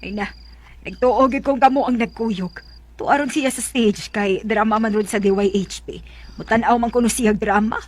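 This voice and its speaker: Actress as high-voiced gossipy Bisaya young woman. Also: fairly even intonation, moderate pace, darkish tone, dry recording, lightly acted. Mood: curious, teasing. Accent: Cebuano Bisaya, Philippines. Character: gossipy, teasing young female friend with strong opinions. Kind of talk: Bisaya radio drama acting.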